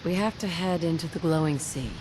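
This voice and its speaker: An actress voicing a concerned, plain-spoken wasteland survivor in a video game. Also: female voice, low-pitched, a little fast, background noise, natural voice.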